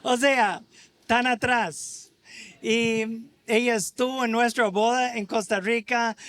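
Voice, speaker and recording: A middle-aged American man, a native English speaker, preaching as a guest in halting Spanish with frequent restarts. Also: high-pitched, smooth, dry recording. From United States. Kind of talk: sermon.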